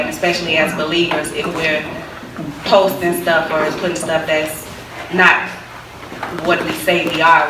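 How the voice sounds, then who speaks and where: A young woman with a very low voice speaks with confident conviction on a panel. She sounds distant, set in a roomy, echoing hall with noticeable background hiss. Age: young adult. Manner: confident, opinionated, repeats "as far as I'm concerned". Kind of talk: panel answer. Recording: distant echoey hall recording with background noise.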